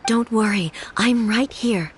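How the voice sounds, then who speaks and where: An actress playing a composed, protective immortal female ruler in a video game, speaking in a measured, formal, solemn manner. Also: a little high, a little hiss or hum. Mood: calm authority. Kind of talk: dramatic video game voice acting.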